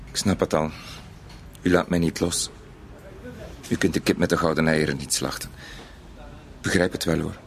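A man's voice: very deep voice, fairly even intonation, moderate pace, gravelly, long pauses, slight background noise. An actor in a radio play, a man, playing an anxious, cornered middle-aged bank clerk who speaks hesitantly and pleadingly. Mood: weary, resolute. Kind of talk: Dutch-language radio drama acting.

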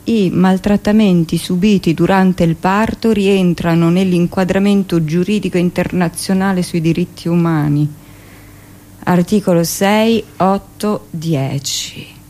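A woman performing a measured, deliberate dramatic reading of a formal declaration. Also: lowish voice, steady tone, mellow. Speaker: stage and radio performer.

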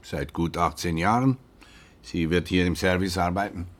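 An actor playing an older, dry and terse retired police inspector in a naturalistic radio drama. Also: male voice, deep voice, moderate pace, natural voice. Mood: dry, casual.

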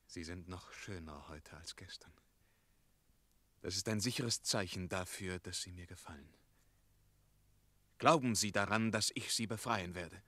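A confident, gallant knight in a radio drama, earnest and romantic. The voice is fairly low yet forceful, in a dry, close recording. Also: male voice, unhurried, long pauses, no room sound, heavily acted. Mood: tender, wooing. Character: confident, romantic young knight errant hero. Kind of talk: German radio play acting.

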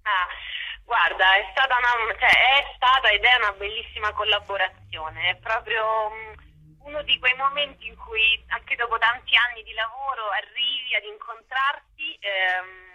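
Female interview guest, very bright sharp tone, husky edge, hesitant conversational delivery. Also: fairly high pitch, low-quality recording. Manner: hesitant, pausing mid-sentence. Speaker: theatre artist, interview guest. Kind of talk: casual spoken interview.

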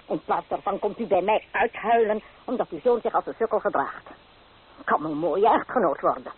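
An actress playing a domineering, scolding old grandmother with a sharp tongue in a radio play. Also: on the low side, breathy edge. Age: older. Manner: commanding, sharp-tongued, sarcastic. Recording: tinny, band-limited old radio play.

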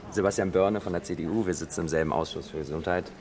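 A man's voice: low voice, fast, darkish tone. An actor playing an outspoken, sardonic male politician in a radio drama, speaking bluntly and casually. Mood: blunt, sociable. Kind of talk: naturalistic radio drama dialogue.

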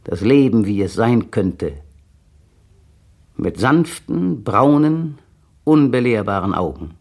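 A man's voice: mid-range voice, very animated, slow pace, gravelly, darkish tone, heavily acted. An actor playing a bitter, cold man speaking a precise, brooding inner monologue in a 1960s radio play. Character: bitter, cold adult man resenting his partner. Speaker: male protagonist narrating inner monologue.

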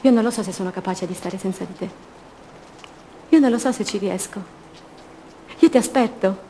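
An actress playing a lonely, wounded woman longing for her love, in an intimate, lyrical radio theatre monologue of short measured phrases. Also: medium pitch, warm tone, a little hiss or hum, close to an everyday voice, rough recording. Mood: fervent, pleading.

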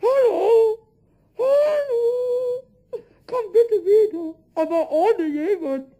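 A man playing a cheeky, boastful, childlike little kobold in a children's radio play, squeaky and cackling, teasing in sing-song repetitions. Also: extremely slow, mellow, exaggerated voice. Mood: frightened, pleading.

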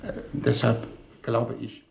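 A man's voice: medium pitch, monotone, slow, creaky. An actor playing a formal, ponderous, idealistic foreign minister in a 1950s German radio play, hesitant in his speechmaking. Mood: hesitant, official. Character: deliberate, idealistic male foreign minister leading a diplomatic mission. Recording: roomy 1950s radio recording, background hiss.

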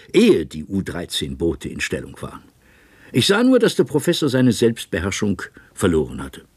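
An actor playing a sober, troubled official who gives formal, deliberate testimony in a 1970s German radio play. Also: male voice, mid-range voice, husky, dry recording. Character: sober, troubled male official recounting events at an inquiry.

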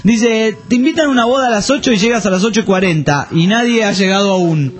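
A high-pitched man hosts a casual radio show. He jokes and rambles as he reads listener messages, with plenty of fillers. The sound is that of a radio broadcast. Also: quick pace. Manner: joking, rambling, frequent fillers.

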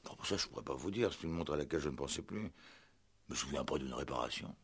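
A man's voice: a bass voice, expressive, fast, gravelly, a bit bright, breathy edge, full character voice. A man playing an uneasy, evasive salesman under questioning in a 1960s French radio play, hesitant and guarded. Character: evasive, uneasy male suspect, a medical salesman.

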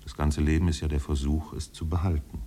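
An actor as the narrator of a 1950s German literary radio play, telling the story in a measured, precise, composed way. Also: male voice, extremely low pitch, flat delivery, mellow, a little hiss or hum.

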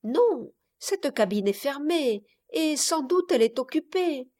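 A woman voicing a young marquise, an indulgent mother, fond and gently chiding, in an audiobook. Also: sits high, lively intonation, measured pace, voice pushed up. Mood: calmly refusing. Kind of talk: audiobook character voice.

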